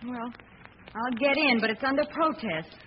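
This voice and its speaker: An actress playing a plucky, outspoken companion to a sleuth in an old-time radio drama, with a wry, expressive delivery. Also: female voice, a little high, normal speed, lightly acted. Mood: uneasy protest. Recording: dull, noisy vintage radio recording.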